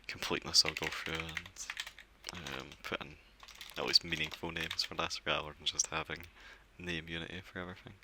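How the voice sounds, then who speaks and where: A man giving a relaxed step-by-step software setup walkthrough, explaining as he clicks through with occasional fillers. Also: a bass voice, moderate pace, vocal fry, slight background noise. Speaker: software tutorial presenter. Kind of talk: screen-recorded software walkthrough.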